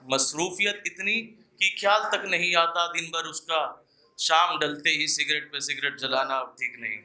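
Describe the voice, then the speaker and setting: A man's voice: a little high, fairly even intonation, normal speed, sharp tone. A young man performing poetry live at an open mic, declaiming couplets with repeated lines and casual asides to the audience.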